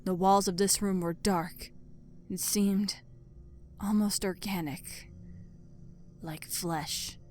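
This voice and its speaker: A woman's voice: mid-range voice, fairly even intonation, takes it slowly, long pauses, darkish tone. A woman reading scripted story narration as the narrator. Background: music.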